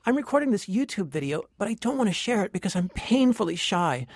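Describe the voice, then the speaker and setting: A woman's voice: medium pitch, very animated, fast talker, darkish tone, no echo. A woman acting as a naive, perky teenage girl singer in a parody radio play.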